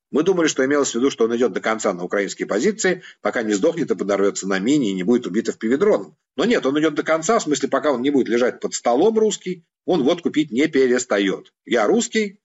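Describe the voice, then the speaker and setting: A man's voice: mid-range voice, very animated, fast talker, few pauses, husky, highs cut off. A man from Ukraine, a political analyst, giving mocking, sarcastic commentary.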